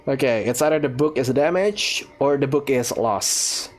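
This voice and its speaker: A woman's voice: rumbling low, normal speed, darkish tone. A woman giving casual, amused let's play commentary as she hosts a gaming video. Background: faint game music.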